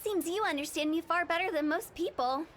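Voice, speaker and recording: A woman's voice: very high voice, fairly quick, a bit bright, slight background noise. A woman voicing a playful young funeral director with a mischievous, cheerfully morbid way of talking. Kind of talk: game voice-over.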